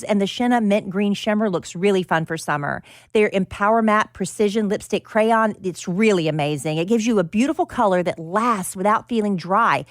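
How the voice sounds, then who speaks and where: A woman's voice: medium pitch, dry recording. A middle-aged woman co-hosting a podcast with dry, teasing quips, who also reads the ads. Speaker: podcast co-host. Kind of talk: casual banter and read ad.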